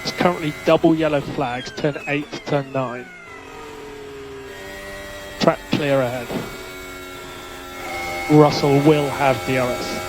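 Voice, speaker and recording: A man working as a race engineer, giving measured, clipped instructions over team radio and repeating key calls. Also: mid-range voice, fairly even intonation. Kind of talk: instructional radio messages to a racing driver. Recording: noisy motor racing team radio.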